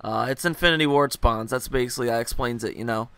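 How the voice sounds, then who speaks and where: A young man hosting a gaming video, telling a rambling, joking story over gameplay while hungover, his voice a little rougher and lower than usual. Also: fast talker, a bit bright, dry recording. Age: young adult. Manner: rambling, joking, full of fillers and asides.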